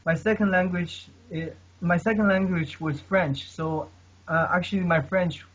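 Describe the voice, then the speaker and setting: Man, husky voice, fairly high pitch, keeps saying okay, earnest advice, dull lo-fi sound. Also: steady tone, a little slow, fairly bright tone. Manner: keeps tagging sentences with "okay?"; earnest, advice-giving, many fillers. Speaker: guest polyglot. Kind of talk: casual conversation about language learning. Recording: dull lo-fi recording.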